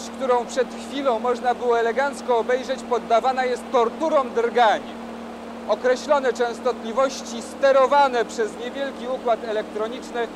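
Brief: TV presenter, man, thirties, very high strained voice pushed over machine noise, old field recording. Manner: raised voice over loud machinery, wearing ear defenders. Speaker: TV science presenter. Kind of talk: on-location TV presenting. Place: indoor test lab beside vibrating machines. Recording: noisy 1980s TV field recording.

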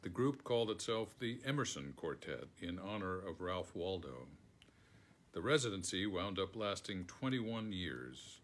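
An older American man reading a written foreword aloud in a measured manner, recorded indoors on a phone. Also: sits low, fairly bright tone. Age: older, around 70. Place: book-lined room at home, indoors.

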